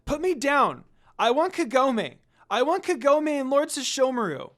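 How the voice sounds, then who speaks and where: A story reader plays a feisty little orphan girl from an anime tale. She is high-pitched and loud, stubbornly indignant and insistent. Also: very animated, unhurried, heavily acted. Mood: desperate yelling.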